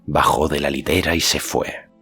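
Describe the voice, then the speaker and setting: A man narrates a storybook audiobook in a remarkably deep voice with a gravelly fry. The delivery is scripted narration, set over faint music. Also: fairly even intonation, normal speed, sharp tone.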